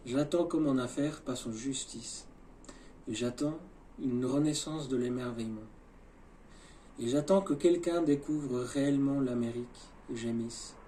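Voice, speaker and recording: A man recites poetry in a deliberate, incantatory manner, moving between hushed and emphatic phrases. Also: mid-range voice, a little hiss or hum.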